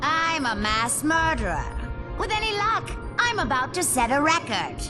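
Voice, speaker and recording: A woman's voice: high-pitched, very animated, moderate pace, music in the background. An actress playing a gleefully cruel female supervillain in a video game, mocking, playful and swaggering. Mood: gloating, mocking. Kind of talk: video game villain acting.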